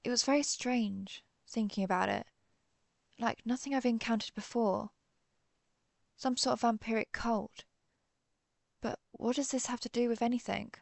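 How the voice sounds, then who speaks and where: A woman playing an earnest, conflicted vampire hunter who is herself a vampire, speaking formally with hesitant pauses in a dramatic audio roleplay. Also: on the high side, steady tone, vocal fry, no room sound. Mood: serious, questioning. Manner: formal, old-fashioned wording, hesitant pauses. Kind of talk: dramatic audio roleplay, one-sided dialogue.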